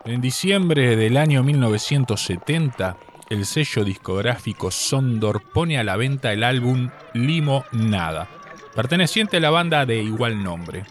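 A man's voice: mid-range voice, expressive. Uruguayan man narrating over noticeable background noise. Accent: Uruguayan Spanish. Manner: measured, literate, explanatory. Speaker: radio segment host. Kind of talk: scripted radio narration on music history.

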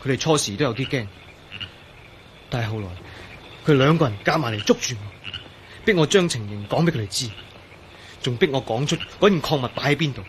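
A man's voice: mid-range voice, animated, a little fast, long pauses, slight background noise, close to an everyday voice. An actor playing a frightened, hunted young man in a radio drama, anxiously explaining himself. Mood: anxious recounting. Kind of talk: Cantonese radio drama acting.

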